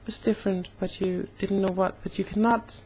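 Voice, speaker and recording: A young woman talking casually in a personal vlog, slightly hoarse, with an earnest, halting way of speaking. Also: medium pitch, steady tone, warm tone, rough recording. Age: young adult. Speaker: vlogger.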